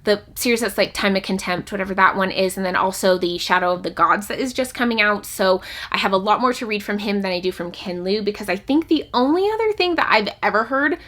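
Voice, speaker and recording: A woman with a bright, forward voice at a middle pitch speaks as a vlog host. Her delivery is casual and chatty, with open enthusiasm as she gives her opinions. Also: fast talker, few pauses. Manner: enthusiastic, gushing about favourites. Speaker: book reviewer and channel host. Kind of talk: casual chatty book talk to camera.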